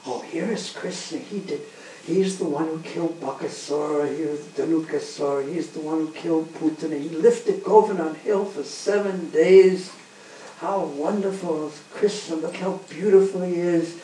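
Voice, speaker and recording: Guy doing awed townsfolk, excited and lifted, roomy far mic. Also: a little high, normal speed, close to an everyday voice. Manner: excited and admiring. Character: awed crowd of townspeople, adoring. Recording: roomy, distant, faint background hum.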